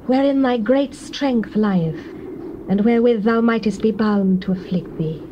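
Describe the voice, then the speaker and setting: A woman's voice: a little high, unhurried, highs cut off, lightly acted. A woman playing a scheming, seductive, persistent betrayer, coaxing and theatrical, in a dramatized Bible reading. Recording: faintly noisy old cassette recording.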